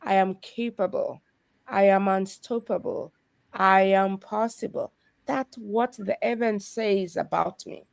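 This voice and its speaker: A woman's voice: mid-range voice, slow, gravelly, no room sound. A woman from Kenya, a guest sharing her faith and business story in an earnest, testimonial way. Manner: earnest, testimonial, with soft laughs. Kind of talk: faith-based conversation.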